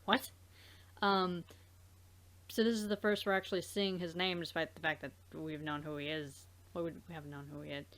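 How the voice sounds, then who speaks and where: A woman's voice: on the low side, little pitch movement, fairly bright tone. Rambling woman host in casual gaming chat. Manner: rambling, halting, circles back mid-thought. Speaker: let's play host.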